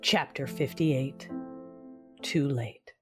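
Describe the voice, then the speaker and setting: A narrator tells a dark chapter slowly, savoring every line with quiet menace. A loud music score plays beneath the voice. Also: medium pitch. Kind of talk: first-person audio drama narration. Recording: loud music bed under the voice.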